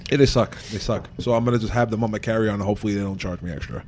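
Fast-talking New York man, low voice. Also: steady tone, darkish tone, slight background noise. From Staten Island, New York. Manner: rambling, restarts often, storytelling. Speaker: podcast guest, professional wrestler. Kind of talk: casual chat.

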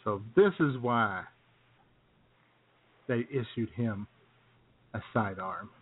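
A man's voice: lowish voice, slow, slightly rough, narrow, tinny audio. A man co-hosting a comedy radio show with casual, joking chat. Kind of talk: casual comic banter.

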